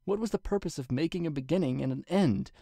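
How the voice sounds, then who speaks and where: Casting: male reader as young pony princess for story drama; raised pitch, emotional, urgent, indignant pleading. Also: fast talker, vocal fry, warm tone, no room sound, clean studio sound. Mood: distraught, demanding. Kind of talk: dramatic fan fiction reading.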